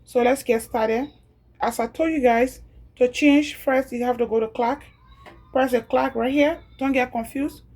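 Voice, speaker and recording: A woman's voice: sits high, fairly even intonation, darkish tone. A woman from Liberia with a Liberian accent, chatting casually as she repeats each step of a home tutorial. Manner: chatty, repeats steps slowly. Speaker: home video creator.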